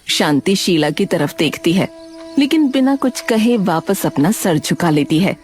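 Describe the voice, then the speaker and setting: A woman narrating a cartoon moral story in an expressive, scripted storytelling style. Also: lowish voice, unhurried, faint music behind.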